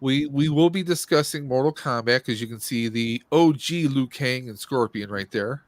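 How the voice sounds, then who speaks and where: A man in his forties hosts a casual livestream with a mid-pitched voice. His delivery is informal and sprinkled with jokey asides. The sound is dry, with very little room in it. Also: normal speed, dry recording. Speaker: podcast host. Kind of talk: casual livestream chat about movies and games.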